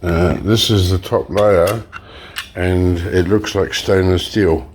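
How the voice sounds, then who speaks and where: A man hosting a recycling channel, talking casually while taking apart electronics, slightly hoarse and pausing with fillers. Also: low-pitched, slight background noise. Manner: relaxed, explains while working, frequent fillers and restarts. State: slightly hoarse, clearing throat. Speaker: e-waste recycling channel host. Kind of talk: casual hands-on demonstration.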